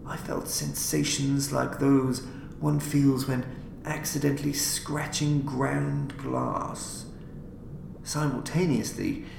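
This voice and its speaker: A man reading a horror story as a podcast narrator, in a deliberate, solemn, dramatic style. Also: mid-range voice. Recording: roomy, clearly noisy home recording.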